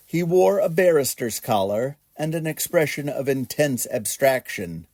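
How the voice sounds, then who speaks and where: A man reads a whimsical children's bedtime story in a mellow, relaxed voice. His pace is slow and measured, and his pitch rises and falls expressively. The recording is dry and close. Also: no room sound. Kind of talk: gentle bedtime story narration.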